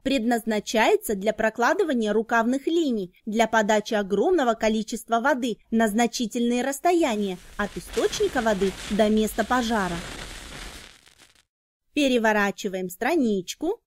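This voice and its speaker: A woman reads scripted educational narration for children, explaining in short, clearly enunciated phrases. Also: fairly high pitch, animated, moderate pace.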